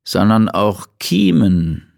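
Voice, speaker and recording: A man voicing a weary, laid-back male forensic pathologist who muses out loud in a relaxed way. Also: on the low side, takes it slowly, gravelly, no room sound, clean studio sound, full character voice. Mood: musing.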